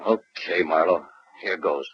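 A man's voice: low voice, a little slow. A man playing a smug, slippery crook who cowers under pressure, acted in 1940s radio melodrama style. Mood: pleading, cowed. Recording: muffled old radio, faint noise.

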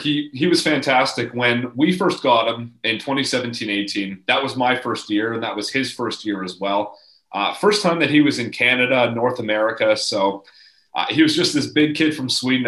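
A hockey play-by-play man talks as a podcast guest, eager and upbeat as he recalls stories. His voice sits on the low side, and the room around him adds clear echo. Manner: animated, enthusiastic, laughs while telling stories. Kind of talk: casual interview storytelling.

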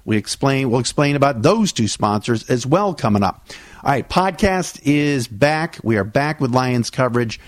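A man talks through a solo sports podcast. His voice sits in the middle range and sounds dry and close to the mic. He is easygoing and chatty, often pausing on "uh" while his voice recovers from surgery. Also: mid-range voice, expressive, no echo. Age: 40s. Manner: chatty, conversational, with frequent "uh" fillers. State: recovering from vocal cord surgery. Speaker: podcast host.

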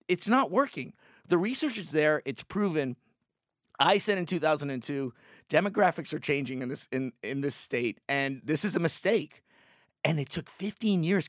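A man's voice: fairly high pitch, no room sound, studio quality. A man from Puerto Rico, a native Spanish speaker, arguing emphatically on a panel with many restarts and "like"s. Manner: animated, emphatic, lots of "like" and restarts. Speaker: panel guest. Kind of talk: panel discussion.

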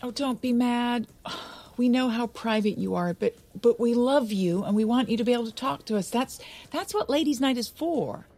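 Husky, blunt gossiping woman. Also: on the high side, moderate pace, few pauses, darkish tone. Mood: cajoling. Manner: knowing, blunt, conspiratorial gossip. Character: brash, gossipy married woman, blunt and teasing. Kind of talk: naturalistic audio drama dialogue. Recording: music playing behind the voice.

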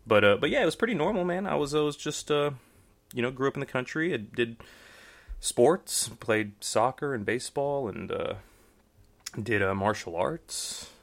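A man's voice: mid-range voice, lively intonation, normal speed. A young man with a Texan accent, a magician guest chatting casually in an interview, rambling with many fillers and restarts. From Texas, USA.

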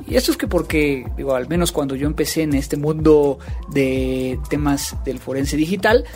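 A man's voice: fairly high pitch, a little mellow. A man hosting an interview podcast in a conversational style.

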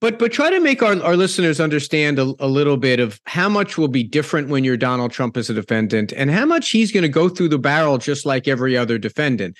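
A man hosting a podcast interview, talking in a halting way with many restarts and repeated words. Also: fairly high pitch, big pitch swings, no room sound, studio quality. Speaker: podcast host, former politician. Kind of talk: conversational interview.

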